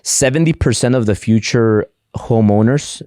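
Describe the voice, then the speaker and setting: A man's voice: mid-range voice, normal speed, dark tone, no room sound, clean studio sound. A middle-aged man whose first language is Spanish, talking eagerly in a casual interview, often repeating words and restarting sentences. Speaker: podcast guest, entrepreneur.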